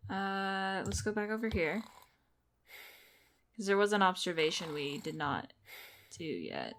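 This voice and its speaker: A woman's voice: medium pitch, big pitch swings, a little slow, fairly bright tone, slight background noise. A woman giving casual playthrough commentary, thinking aloud as she plays. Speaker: game streamer.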